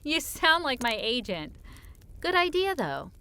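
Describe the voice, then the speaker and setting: A woman playing a teasing, affectionate wife in audiobook dialogue, with a natural, playful, expressive delivery. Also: high voice, a little slow, exaggerated voice. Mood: warm, relaxed. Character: teasing, affectionate wife and author in cozy conversation.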